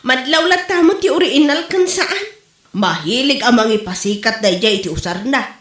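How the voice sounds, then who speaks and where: A woman's voice: on the high side, lively intonation, normal speed, a bit bright. A woman acting as a gossipy, indignant co-worker in an Ilocano comedy drama, with an Ilocano Filipino accent and an exclaiming delivery. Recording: roomy, echoey room sound.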